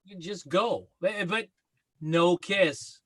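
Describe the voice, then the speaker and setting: Man acting high-voiced, bossy sitcom best friend. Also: slow, fairly bright tone, breathy edge, heavily acted. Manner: blunt, exasperated, emphatic. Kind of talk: sitcom table read on video call. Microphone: video call.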